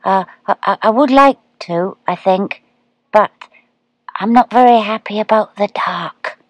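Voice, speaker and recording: A woman voicing a small, eager baby barn owl boy in a storybook reading, with a high, wide-eyed manner. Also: unhurried, dry recording, natural voice.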